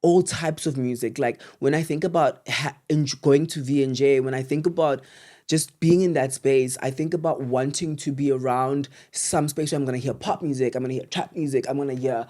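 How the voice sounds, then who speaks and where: South African podcast host, medium pitch, effusive, slangy, conversational. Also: fairly even intonation, normal speed, dark tone. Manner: effusive, slangy, circling through many 'like's. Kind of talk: casual chat.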